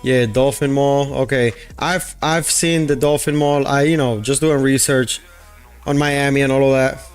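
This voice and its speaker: A man's voice: mid-range voice, steady tone, normal speed, clear, resonant tone. A man from Puerto Rico chatting casually with his livestream viewers as a day trader, rambling and thinking aloud with lots of fillers. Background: faint background music.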